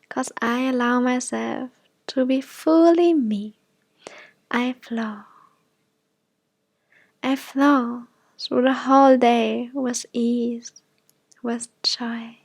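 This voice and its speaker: Woman, meditation guide, higher-pitched, breathy and whispery, very unhurried gentle phrasing, dry close sound. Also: no room sound. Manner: soft, breathy, often dropping to a whisper.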